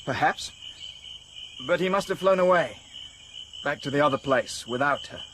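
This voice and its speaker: Radio drama: an earnest young villager, fairly high, over crickets. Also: male voice, expressive, a bit bright, slight background noise. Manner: solemn, earnest, halting delivery. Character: earnest young man raised in a remote village. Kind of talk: BBC radio drama acting. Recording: insect and cricket ambience.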